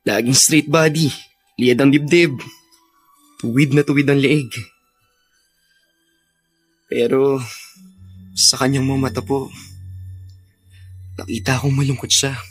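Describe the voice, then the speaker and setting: A polite young Filipino army officer in a radio drama. His voice is mid-pitched, earnest and gentle, with faint music behind it. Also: fairly even intonation, takes it slowly, pauses often, slightly rough. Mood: earnest, concerned. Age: young adult.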